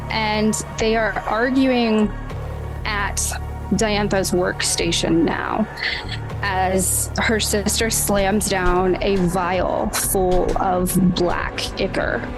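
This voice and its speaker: Slow, hesitant woman improvising a story. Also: mid-range voice, poor audio. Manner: hesitant, casual, thinking aloud. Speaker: player in a tabletop roleplay show. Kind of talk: improvised character narration.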